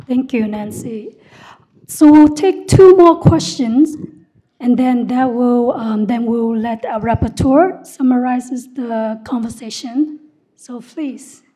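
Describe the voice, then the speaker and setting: A woman's voice: a little high, slow pace, dark tone, poor audio. A woman moderating a panel, brief and polite.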